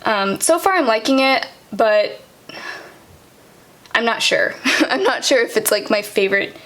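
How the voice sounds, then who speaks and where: A woman's voice: fairly high pitch, expressive, unhurried, a bit bright. A young woman talking casually through her makeup, full of ums and likes, her voice tired and cracking at the end of the day. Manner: chatty, many ums and likes. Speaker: beauty vlogger. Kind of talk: casual product walkthrough. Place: home vanity table, indoors.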